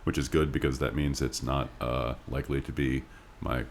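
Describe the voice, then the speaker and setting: A man co-hosting a casual podcast, bantering and reading listener mail in a wry, joking, halting way. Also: very deep voice, little pitch movement, slight background noise. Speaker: podcast co-host.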